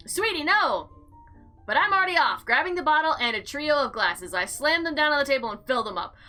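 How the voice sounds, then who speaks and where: A woman narrates a visual novel as a let's play, slipping between dramatic reading and casual commentary. Her voice is fairly high and bright, with highly animated intonation. Light music runs underneath. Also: a little fast. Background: faint background music.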